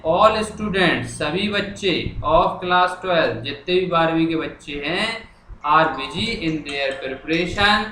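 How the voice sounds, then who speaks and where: A male teacher with a fairly high, bright voice explains material line by line, repeating and translating as he goes. He often asks a question and answers it himself. The room is noticeably echoey, with faint noise behind him. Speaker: English teacher.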